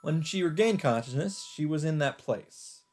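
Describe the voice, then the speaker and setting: A man's voice: a little high, animated, moderate pace, darkish tone. A man hosting a let's play, reading a visual novel's narration aloud in a dramatic storytelling style. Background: game music.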